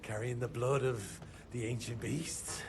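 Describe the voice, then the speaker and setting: An actor playing a sly, talkative male arms-dealer informant, gravelly and hesitant, in a game cutscene. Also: steady tone, unhurried, natural voice. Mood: conspiratorial. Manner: chattering, hesitant, shrill when panicked. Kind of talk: video game cutscene acting.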